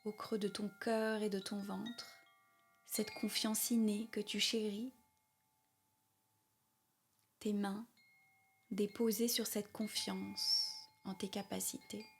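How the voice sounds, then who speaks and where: Very slow, soft-spoken woman guiding meditation. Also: mid-range voice, soft background music. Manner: calm, soothing, repetitive phrasing with long pauses. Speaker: yoga nidra guide. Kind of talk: guided meditation, spoken slowly.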